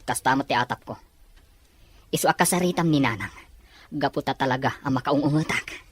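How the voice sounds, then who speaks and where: A man's voice: medium pitch, fairly quick, slight background noise, lightly acted. A man acting a concerned, protective father in an Ilocano radio drama. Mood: indignant. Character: concerned, indignant father of a schoolgirl.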